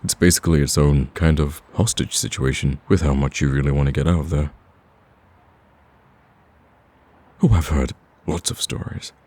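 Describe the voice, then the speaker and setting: A man playing a smitten, cocky villain in a romantic ASMR roleplay, with a husky, very deep voice and a smooth-talking, teasing, pleading manner. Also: steady tone, brisk, darkish tone. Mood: wry, teasing. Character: smitten male villain, cocky, persistent, wooing.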